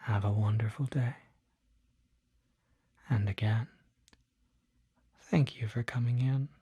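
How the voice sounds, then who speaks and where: Performer as courteous demon physician, ASMR roleplay, breathy whisper, slow measured pace, formal diction. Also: medium pitch, steady tone, warm tone. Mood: gentle, courteous. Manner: formal, unhurried, precise diction. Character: polite, soft-spoken demon doctor treating curses.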